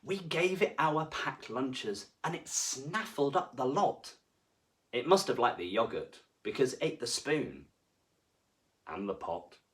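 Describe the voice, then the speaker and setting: A man reading to children as a storyteller, with expressive, deliberate and dramatic phrasing. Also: medium pitch. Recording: voice far from the mic.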